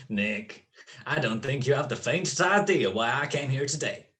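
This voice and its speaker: A man's voice: mid-range voice, expressive, a little slow, fairly bright tone. A man playing a suave gangster boss in a radio crime drama, mock-courteous and taunting. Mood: amused taunting. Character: suave, gloating male gangster boss with a gun.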